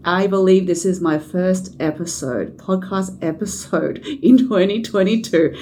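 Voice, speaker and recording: A woman's voice: lowish voice, a little hiss or hum. A woman hosting a podcast, chatting casually with a guest and laughing often. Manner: laughs often, animated questions. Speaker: podcast host. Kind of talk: casual interview chat. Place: Chinatown, Sydney.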